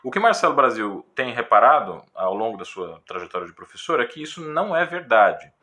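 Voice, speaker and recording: Bright-voiced man explaining guitar teaching. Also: mid-range voice, very animated. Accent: Brazilian Portuguese. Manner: thoughtful, explanatory, many pauses and fillers. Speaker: guitar teacher reviewing a book. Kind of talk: casual spoken commentary.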